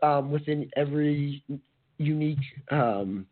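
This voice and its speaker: A man hosting a casual solo podcast, rambling and searching for words, his voice a little raspy from allergies. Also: fairly high pitch, unhurried. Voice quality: slightly raspy. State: allergy-affected voice. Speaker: podcast host. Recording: narrow phone-like sound.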